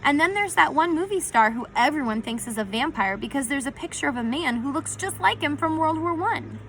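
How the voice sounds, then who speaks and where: A spirited, wry teenage girl heroine from a storybook, read by a woman. She sounds bright and fairly high-pitched. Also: animated, fairly quick, slight background noise, rough recording. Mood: earnest.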